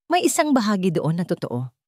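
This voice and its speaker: A woman's voice: mid-range voice, huge pitch swings, brisk, dry recording. Woman voicing a tender, devoted sweetheart, dry recording. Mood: tender, sincere. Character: devoted, understanding sweetheart confessing deep love.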